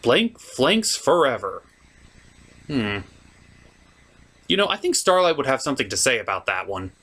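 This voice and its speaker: A performer voicing a theatrical gentleman puppet host, reviewing a cartoon from a script with dramatic pauses and mock outrage. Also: medium pitch, very animated, husky, full character voice.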